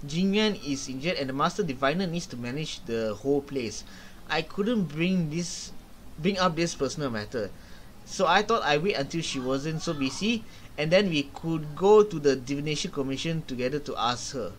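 A young man hosting a game playthrough, reading dialogue aloud haltingly and commenting casually. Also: a little high, expressive, slight background noise. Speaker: gaming video host.

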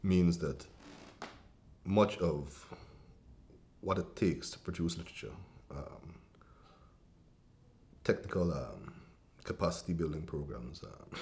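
A Guyanese man in his forties with a very low, deep voice speaks as a writer in a seated interview. He talks slowly and thoughtfully, pausing on ums and restarting phrases, with a cough now and then. He is recorded indoors in a room with a brick fireplace and bookshelves. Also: a little mellow. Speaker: writer and cultural policy advisor.

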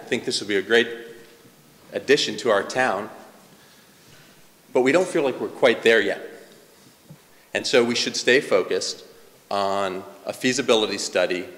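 A man makes a persuasive case to a town meeting on behalf of a local group. His voice sits a little low. A long reverb from the hall hangs behind his earnest delivery. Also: fairly bright tone. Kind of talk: public comment at a town meeting. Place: large hall, standing in the audience.

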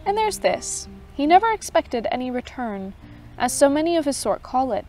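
A woman voicing a gentle but authoritative unseen advocate who speaks kindly and with measured calm. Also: sits high, faint music behind, voice pushed up. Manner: kind, measured, pleading.

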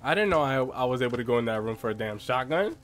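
A young man streaming a horror game from his room, reacting loudly with constant swearing and trash talk. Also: medium pitch, lively intonation, fast, a little hiss or hum. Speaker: gamer streamer. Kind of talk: live gameplay reactions. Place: small room at home, indoors.

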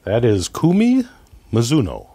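A man's voice: mid-range voice, wildly swooping pitch, unhurried, dark tone, slightly rough, dry recording. A man as a podcast guest, chatting casually about film in a hesitant way, trailing off and chuckling. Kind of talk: casual movie discussion.

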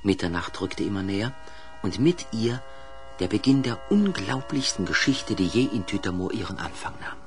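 A male narrator tells a children's tale in a fairly low voice with lively swings of pitch. He is theatrical and deliberate, pausing for suspense, with soft music underneath. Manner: dramatic, deliberate storyteller with suspenseful pauses. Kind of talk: scripted children's story narration, 1970s audio play.

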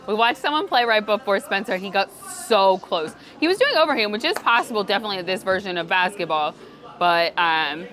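A woman's voice: medium pitch, lively intonation, fairly quick, fairly bright tone. A woman co-hosting a theme park vlog from behind the camera, bubbly and enthusiastic. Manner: bubbly, enthusiastic, laughs often. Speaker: theme park vlogger, co-host. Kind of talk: casual vlog chat. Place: outdoor theme park game booth.

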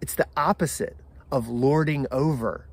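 An American man in his forties preaches as a pastor. His voice sits at an ordinary male pitch, and he takes his time, setting down short measured phrases with deliberate pauses between them. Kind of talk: sermon for an online service. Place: outdoors in a garden.

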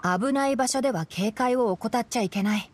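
Woman voicing a calm, studious young male forest ranger. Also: on the high side, natural voice. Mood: gentle concern. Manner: measured, articulate, gently admonishing. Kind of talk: Japanese video game voice acting.

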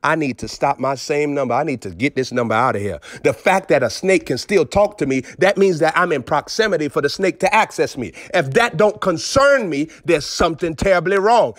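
Preacher guy, high voice, scolding and repeating himself, dry close sound. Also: fairly bright tone, no echo. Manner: emphatic, repetitive, scolding. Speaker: prophet preacher. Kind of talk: live streamed sermon.

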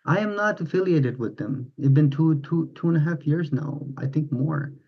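A man's voice: a little high, normal speed, slightly rough. A man in his thirties, a guest on a video call, talking earnestly in a rambling way with many restarts. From born in the United States, raised in India, Dubai and Pakistan. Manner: rambling, earnest, frequent restarts, gestures with hands. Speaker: guest, mind power teacher. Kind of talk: casual video-call interview. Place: home room with bright yellow walls and posters, indoors. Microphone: webcam on video call. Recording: dull lo-fi video call audio.

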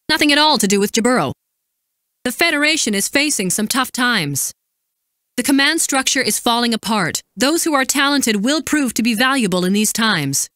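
An actress playing a composed, dutiful woman military supply officer in a video game, giving crisp, formal, commanding briefings and callouts. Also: on the high side, sharp tone, dry recording, clean studio sound.

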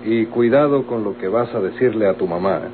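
An actor playing a stern, officious public prosecutor in a Mexican radio soap opera, with a Mexican accent and formal, authoritative phrasing. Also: male voice, fairly low pitch, brisk, natural voice. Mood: curt, conciliatory. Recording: tinny old radio recording, clearly noisy.

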